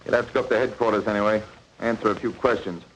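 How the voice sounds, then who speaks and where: Man playing a low-voiced 1940s radio policeman. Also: fairly even intonation, rapid-fire, fairly bright tone, close to an everyday voice. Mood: suspicious, official. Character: firm, suspicious small-town policeman. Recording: noisy 1940s radio drama recording.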